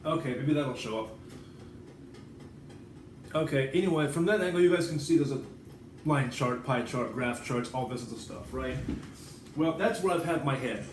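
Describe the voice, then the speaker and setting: A man's voice: medium pitch, moderate pace, pauses often. An American man giving a casual, rambling vlog monologue, informal and digressive, with some swearing. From United States. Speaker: writer and vlogger. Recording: roomy echo, distant mic, background noise.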